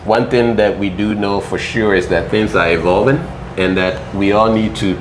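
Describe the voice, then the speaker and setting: A man's voice: lowish voice, fairly even intonation, normal speed, a little hiss or hum, poor audio. A Ghana-born man as an interview guest, explaining things patiently and checking in with "right?" and "you know".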